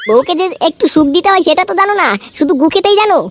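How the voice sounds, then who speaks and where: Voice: extremely high pitch, very fast, music in the background. A performer voicing a frustrated, foul-mouthed young wife in a comedy cartoon, nagging and complaining in a female voice. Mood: angry, scolding. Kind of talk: amateur comedy cartoon voice acting.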